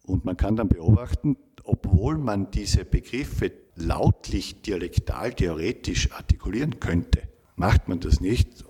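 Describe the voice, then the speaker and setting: A middle-aged professor speaks in a very low, husky voice, measured and academic, often restarting a phrase to find the right word. His tone is dark and mellow. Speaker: linguistics professor. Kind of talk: interview answers.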